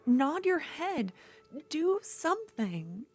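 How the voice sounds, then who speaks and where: A woman playing an obsessive, unhinged female duelist rival in an ASMR fantasy roleplay, speaking intimately and often slipping toward a breathy whisper. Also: high voice, sing-song, slow pace, a little hiss or hum, full character voice. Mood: desperate, intense.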